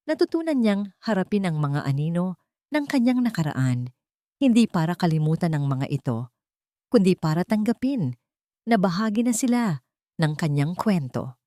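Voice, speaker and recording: Woman, lowish voice, scripted dramatic storytelling, dry close sound. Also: darkish tone, dry recording. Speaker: story narrator.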